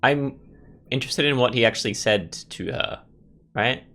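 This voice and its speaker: A man's voice: medium pitch, moderate pace, bright, forceful tone. A man giving casual let's play commentary, thinking aloud in tentative fragments. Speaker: gaming host. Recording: music playing behind commentary. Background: music.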